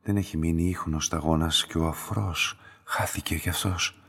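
An actor playing a calm, enigmatic middle-aged laboratory master in a radio drama, measured and quietly insistent, with a husky voice. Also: male voice, heavily acted. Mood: wistful, brooding. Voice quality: deep, husky. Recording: long hall-like reverberation.

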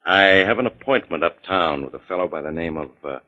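A man playing a slick, domineering séance swindler, insistent and smooth-talking, in 1940s radio style. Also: deep voice, a little fast, close to an everyday voice. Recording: dull old 1940s radio recording.

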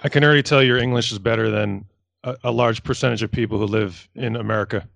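Very deep-voiced gravelly woman host. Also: steady tone, dry recording. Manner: quick, joking asides. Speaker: podcast host. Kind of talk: casual interview conversation.

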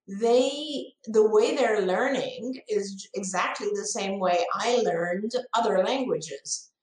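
Earnest woman, English as second language. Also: medium pitch. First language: not English. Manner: earnest, thoughtful, frequent restarts. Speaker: ABA program director. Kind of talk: live-stream Q&A answer. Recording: roomy echo.

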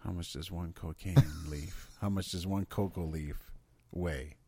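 A man co-hosting a podcast in casual chat, excitable and swearing as he riffs on questions. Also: deep voice, a little slow, slightly rough, dry recording. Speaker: podcast co-host.

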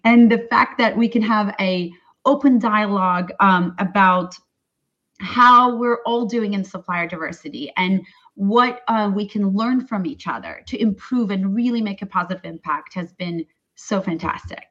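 A woman's voice: mid-range voice, moderate pace, a bit bright, highs cut off. Hesitant woman CEO from Turkey. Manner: hesitant, many fillers, sincere. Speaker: tech company CEO, panel guest. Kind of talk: webinar panel discussion.

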